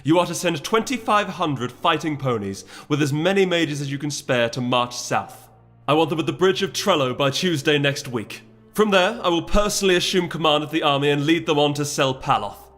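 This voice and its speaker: A man's voice: fairly high pitch, brisk, few pauses, fairly bright tone, soft background music, voice pushed up, natural voice. A man voicing a proud, resolute noble mare who speaks formally and imperiously in a dramatic fantasy audiobook. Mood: commanding.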